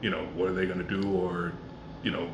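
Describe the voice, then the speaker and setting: Deep-voiced man in his forties, echoey room. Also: little pitch movement, a little fast. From Jamaica, raised in Miami. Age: 40s. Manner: earnest, reflective storytelling. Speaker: podcast guest. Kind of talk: casual conversational podcast. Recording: echoey room with background noise.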